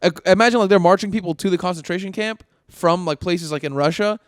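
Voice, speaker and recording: Fast-talking, high-voiced male podcast co-host. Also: clean tone, no room sound, clean studio sound. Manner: slangy, peppered with "dude" and "man". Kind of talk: casual, profane conversation.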